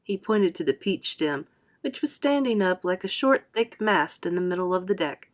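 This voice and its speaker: A woman reading a children's story aloud as an expressive narrator. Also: lowish voice, moderate pace.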